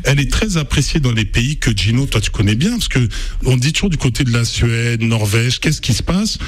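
A man hosting a radio interview, asking long, rambling questions in a lively way. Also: medium pitch, a little fast. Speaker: radio host.

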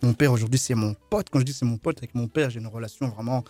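A man's voice: mid-range voice, fast talker, mellow, dry recording. Playful man telling stories over music, close and dry. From Kinshasa, DR Congo; grew up in France. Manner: playful, jokey storyteller, often laughing. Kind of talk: humorous anecdotes in casual conversation.

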